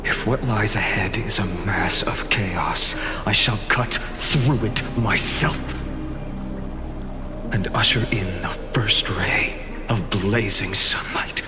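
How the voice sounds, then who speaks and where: A man voicing a weary but resolute hero in a fantasy game, solemn and measured, with a breathy, hushed delivery. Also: lowish voice. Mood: grave, determined. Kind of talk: dramatic video game voice acting. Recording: long reverb, music behind. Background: music.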